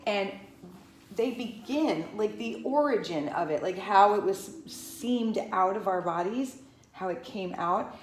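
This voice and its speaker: A woman's voice: mid-range voice, expressive, measured pace, roomy sound, slight background noise, low-quality recording. A woman of about fifty, working as a Pilates instructor, talks to the camera in a chatty, rambling way, often restarting herself and going off on asides. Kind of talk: casual talking-to-camera vlog.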